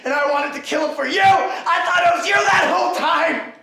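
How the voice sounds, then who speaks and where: An actor playing a traumatized young war veteran who rants, veering between broken murmurs and yelling, in dramatic film acting. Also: male voice, extremely high pitch, fairly even intonation, fast, vocal fry, distant mic, full character voice. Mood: raging. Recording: echoey room, voice from off-mic.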